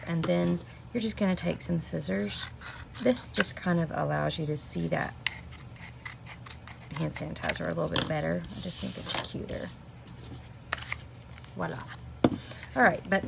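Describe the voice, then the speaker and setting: A woman's voice: fairly low pitch, gravelly. A middle-aged woman with a slight cold, chatting viewers through a craft project step by step and greeting them by name. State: sniffling with a minor cold. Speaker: stamping craft demonstrator. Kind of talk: live craft tutorial. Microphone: camera mic at desk. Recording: noticeable background noise.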